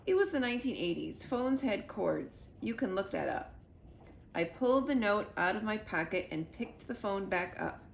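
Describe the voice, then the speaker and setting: A woman narrating a children's storybook in an expressive read-aloud style. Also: medium pitch, moderate pace, gravelly. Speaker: storybook reader. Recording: echoey room with faint noise.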